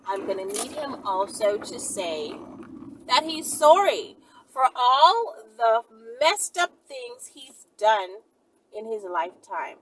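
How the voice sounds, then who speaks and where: A woman's voice: fairly high pitch, very animated, slow, fairly bright tone. A woman talking in a casual, chatty monologue, sassy and emphatic, with frequent little check-ins as she answers questions. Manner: sassy, emphatic, often adds "okay?" and "you know". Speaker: video host answering viewer questions. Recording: noisy, distant mic, vehicle-like ambience.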